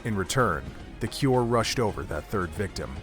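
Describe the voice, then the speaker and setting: A man's voice: fairly low pitch, animated, moderate pace. A man reading scripted narration for an audio drama in a dramatic storytelling manner. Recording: music under the narration.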